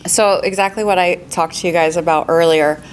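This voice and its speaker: A woman's voice: fairly low pitch, steady tone, brisk, a little hiss or hum, rough recording. A woman, a real estate agent on a panel, giving upbeat, practical advice with frequent laughs and questions to the audience.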